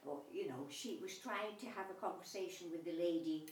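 A woman in a group discussion, speaking in an animated, indignant way and acting out quoted speech. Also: fairly low pitch, a little fast. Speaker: audience member. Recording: distant and roomy, faint background noise.

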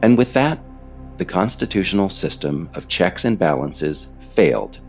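Deep-voiced man in creaky scripted narration. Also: lively intonation, measured pace, faint music behind. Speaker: author and podcast narrator. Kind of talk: scripted narration of political history.

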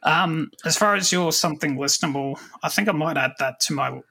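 A man hosting a casual podcast interview, chatting informally with his guest in a husky, gravelly voice. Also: a little high, fairly quick, pushed and bright. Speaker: podcast host.

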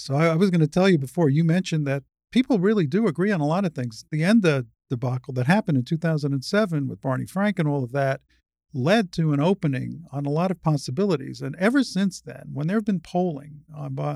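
An older woman speaks as a guest in a conversational interview. Her voice is low with a good deal of creak, and she explains things in an assured way. The sound is dry and close. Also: a little mellow, dry recording.

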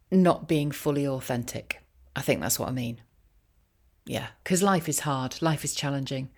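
A woman with a slightly low voice shares a personal update in a chatty, informal way. She wanders off her point and pokes gentle fun at herself, with a wry, ironic touch. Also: vocal fry. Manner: rambling, self-deprecating asides. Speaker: voiceover artist and career coach sharing a personal update. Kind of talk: casual, chatty monologue.

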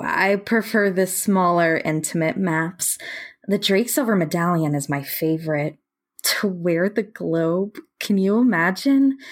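An actress playing a reserved, quietly earnest young map conservator in a romantic comedy audio play, hesitant and understated. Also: female voice, mid-range voice. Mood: quietly wistful. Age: young adult. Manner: hesitant, understated, brief replies.

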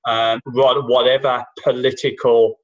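A man's voice: medium pitch, little pitch movement, measured pace, poor audio. A man co-hosting a casual political chat podcast, talking in long sweary runs full of false starts and restarts. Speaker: podcast co-host and stand-up comedian. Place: New Zealand.

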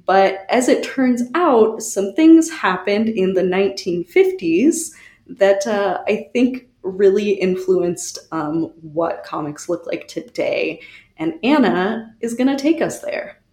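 A woman co-hosting a podcast, presenting researched history in a conversational, wry and animated way with giggly asides. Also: medium pitch, slow, echoey room. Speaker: podcast co-host.

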